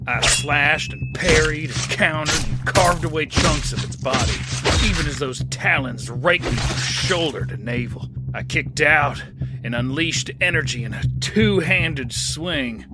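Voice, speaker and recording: A man narrating a first-person audio drama, intense and dramatic, rising loud in the action and sinking to a hushed tone in the quiet passages. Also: fairly high pitch. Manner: intense, swelling loud on action then dropping to a hush. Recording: narration over loud score. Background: loud music score.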